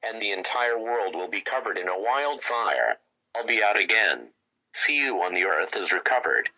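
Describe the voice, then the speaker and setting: A man's voice: fairly low pitch, animated, moderate pace, pushed and bright, exaggerated voice, poor audio. A man playing an urgent emergency news announcer, reading a scripted bulletin in a formal way. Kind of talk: scripted emergency broadcast narration.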